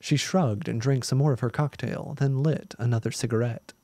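A man's voice: normal speed, dry recording. A man in his thirties reading a science fiction story aloud on a live stream, lifting his voice a little for the dialogue. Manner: steady reading, lifts his pitch for the woman's lines. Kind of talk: live reading of a novella, doing dialogue lightly. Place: small room with green screen, indoors. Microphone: studio mic on boom arm close to mouth.

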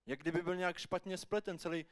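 A man's voice: high-pitched, steady tone. A man performing rhymed slam poetry with theatrical, rhythmic storytelling. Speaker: slam poet. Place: live slam poetry final on stage.

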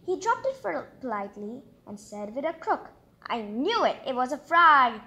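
A woman's voice: high voice, sing-song, normal speed, pushed and bright, a little hiss or hum. A woman reading a children's storybook aloud expressively, breaking off for chatty, exclamatory asides of her own. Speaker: storybook reader and channel host.

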